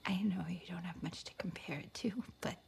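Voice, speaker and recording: A woman performing a dramatic film monologue as a woman confessing forbidden love, in a breathy, whispery, intimate voice. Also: lowish voice, a little fast, a little hiss or hum. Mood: tender, wistful. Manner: hushed, confessional delivery.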